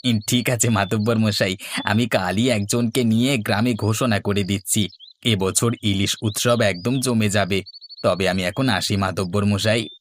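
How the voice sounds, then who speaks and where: A man voices a respectful, modest villager in a cartoon. His voice is low and his delivery earnest, over faint background noise. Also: fairly even intonation, measured pace, natural voice. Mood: respectful, agreeable. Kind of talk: Bengali cartoon dubbing.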